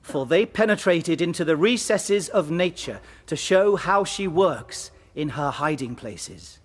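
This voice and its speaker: A man playing an earnest, eloquent professor giving a measured, reverent lecture in an audiobook drama. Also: fairly high pitch, animated. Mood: reverent, stirring.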